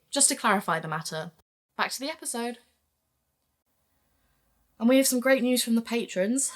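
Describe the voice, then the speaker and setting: A woman acting as a young amateur-detective podcaster, chatty and teasing. Her voice sits at an everyday middle pitch and sounds like a natural recorded conversation from a podcast drama. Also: close to an everyday voice.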